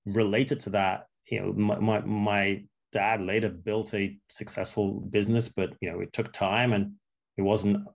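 Casting note: thirties man, reflective interviewee, lowish voice, pauses and restarts a lot. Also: level tone, gravelly. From Russia, raised in England. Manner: thoughtful, halting, many fillers and restarts. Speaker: entrepreneur guest. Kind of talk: interview answers.

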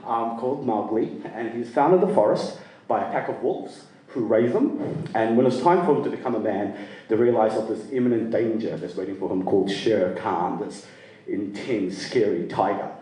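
A man tells his story at a live event in a loose, rambling way, laughing as he goes. His voice sits at an ordinary pitch. The sound is heavily echoey and comes from some distance off the mic. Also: expressive, normal speed, mellow. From Sri Lanka. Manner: casual, rambling, laughs easily. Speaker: storyteller guest. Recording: roomy echo, from off-mic.

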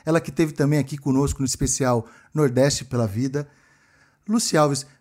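A man's voice: mid-range voice, normal speed, a little mellow. A man presenting a casual podcast as co-host, using affectionate endearments. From São Paulo, Brazil. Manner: affectionate, addresses people with endearments.

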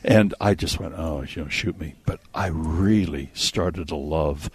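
Older guy on the radio, low voice, close dry sound, folksy rambling chat. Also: measured pace, husky, no echo. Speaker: radio host. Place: on location at a theater.

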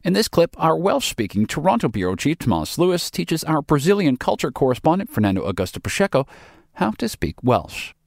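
Male host, animated gravelly voice, upbeat scripted link. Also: a little high, brisk. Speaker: presenter.

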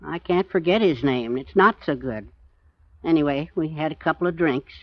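A man's voice: a little high, animated, moderate pace, no room sound, close to an everyday voice. An actor playing a short, nervous, guilt-ridden man in hiding, speaking bluntly with an American accent in a 1940s radio drama. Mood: weary, uneasy. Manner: terse, blunt, plain-spoken. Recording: dull, dry 1940s radio recording.

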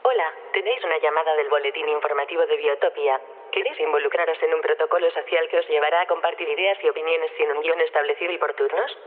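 Audio drama role: male actor as literal, formal, courteous AI, very quick delivery, narrow telephone sound. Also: a little high, steady tone, close to an everyday voice. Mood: detached, polite. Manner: stiffly formal, over-literal phrasing. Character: literal-minded, polite artificial intelligence in a silicon body. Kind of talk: radio drama. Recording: tinny, narrowband phone line.

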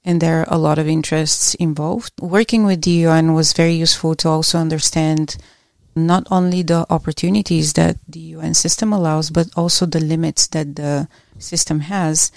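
Middle-aged woman academic guest from Bulgaria, low gravelly voice, measured and reflective answers. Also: fairly even intonation, darkish tone, no echo. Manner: measured, reflective, with small restarts. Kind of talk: thoughtful interview answers.

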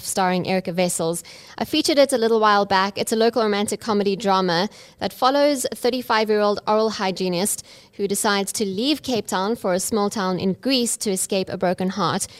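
A woman, presenting and interviewing on a radio show in an upbeat, encouraging way. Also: mid-range voice.

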